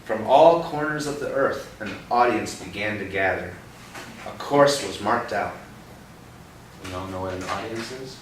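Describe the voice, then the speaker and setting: A young man reading a picture book aloud to a child, pausing often to ask gentle questions about the words. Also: fairly low pitch. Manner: expressive storybook reading broken by gentle teaching questions. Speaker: read-aloud host. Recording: roomy, distant mic with background noise.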